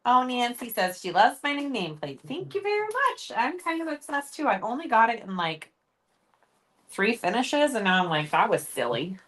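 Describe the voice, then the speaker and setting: Host: bubbly woman, animated pitch, laughs a lot, reads viewer comments aloud. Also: normal speed. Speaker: host of a live sewing stream. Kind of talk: casual live-stream chat.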